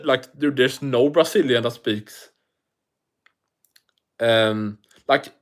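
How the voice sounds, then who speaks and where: A man with a thick non-native accent hosting a casual livestream, rambling and swearing as he answers viewer comments. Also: medium pitch. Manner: rambling, profane, restarts often. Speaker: livestream host.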